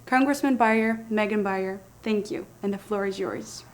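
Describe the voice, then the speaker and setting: A woman presents an award in formal, scripted language. Her voice has an average pitch for a woman. She speaks as the official presenter at a ceremony. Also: normal speed.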